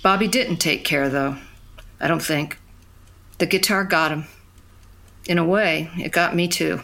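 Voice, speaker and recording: A woman's voice: sits low, a little slow, vocal fry, fairly bright tone, exaggerated voice. An actress playing a wistful woman who narrates memories of her lost love in a reflective, plain-spoken way. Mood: wistful, regretful. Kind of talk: first-person dramatic storytelling.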